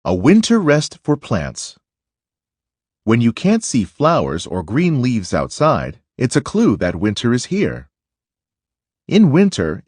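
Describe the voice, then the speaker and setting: A man reads a nonfiction picture book for children. His pitch moves up and down a great deal while the pace stays slow and deliberate. The voice is a little husky, recorded close and dry. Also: darkish tone, no echo. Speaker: read-aloud narrator.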